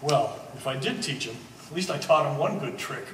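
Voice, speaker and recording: A man playing a wry, excitable treasure hunter in a stage play, thinking aloud in halting phrases. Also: mid-range voice, distant mic, natural voice. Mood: wry, explaining. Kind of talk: live one-act stage play. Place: art gallery performance space. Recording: echoey hall from afar, faint noise.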